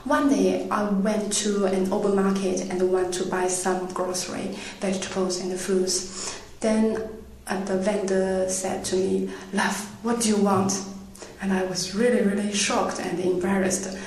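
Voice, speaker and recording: A woman whose first language is Chinese tells a personal story in a halting, hesitant way. Her voice stays flat, and she sounds far from the microphone in a very echoey room with faint background noise. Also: medium pitch. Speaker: student interviewee. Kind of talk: personal anecdote.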